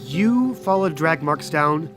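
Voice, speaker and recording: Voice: mid-range voice, expressive, slow, over music. A game master narrating a tabletop role-playing game with descriptive, folksy phrasing.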